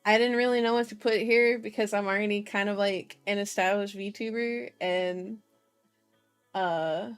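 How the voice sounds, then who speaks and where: A woman's voice: medium pitch, fairly even intonation, measured pace, smooth, soft background music. A young woman streamer chatting casually in a playful, silly, giggly manner with cutesy slang, like she is hosting a livestream introduction. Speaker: VTuber streamer, channel host.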